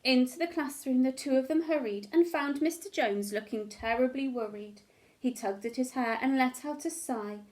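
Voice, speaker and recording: A woman teacher reading a children's picture book aloud in an expressive, animated storytelling manner. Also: high-pitched, normal speed.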